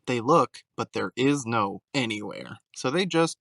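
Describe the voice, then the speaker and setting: A man's voice: mid-range voice, animated, measured pace, creaky, background noise. A man reading scripted scene narration for an audio drama.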